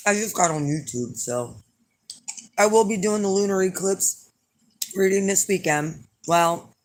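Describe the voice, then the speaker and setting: A hoarse middle-aged woman chatting casually to her viewers as an astrology reader, rambling and blunt with lots of fillers. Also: medium pitch, unhurried. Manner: rambling and blunt, with frequent fillers and some swearing. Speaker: astrology reader and channel host.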